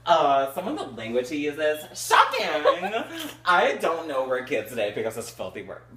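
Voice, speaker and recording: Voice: mid-range voice, wildly swooping pitch, moderate pace, bright, forceful tone. A person giving an amateur comedic reading of a novel aloud, giddy and easily derailed into giggly, sweary, theatrical asides. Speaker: reader in a comedic group book reading. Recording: roomy echo, faint background noise.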